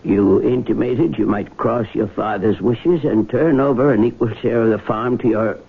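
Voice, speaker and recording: A gravelly, husky old man is a blunt family lawyer in a radio drama. He speaks formally and gravely, through a thin, phone-like broadcast. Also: medium pitch. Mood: solemn, blunt. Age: older. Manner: formal, measured. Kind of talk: 1970s radio drama acting.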